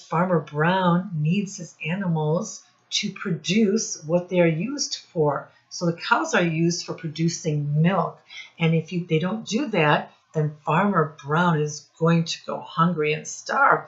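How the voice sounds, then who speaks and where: A woman with a slightly low voice reads a picture book to small children at a very unhurried pace. She speaks like an encouraging teacher, addressing the children directly and leaning on key words. The recording is dull and lo-fi. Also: roomy sound. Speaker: teacher reading a storybook aloud. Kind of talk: children's read-aloud with questions to young listeners.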